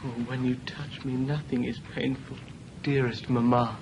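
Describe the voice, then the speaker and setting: A man's voice: medium pitch, level tone, normal speed, close to an everyday voice. Young writer son played by a man, bitter, needy and histrionic, period drama diction, faint noisy ambience. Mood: tender, wounded. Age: young adult. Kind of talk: theatrical period drama acting.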